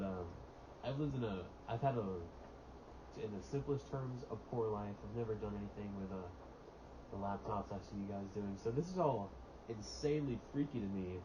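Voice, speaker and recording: A teenage boy talking casually on a student podcast about his plans, relaxed and chatty, with plenty of fillers. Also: on the low side. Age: teens. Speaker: podcast co-host. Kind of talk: casual chat. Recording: dull lo-fi mic with steady hiss.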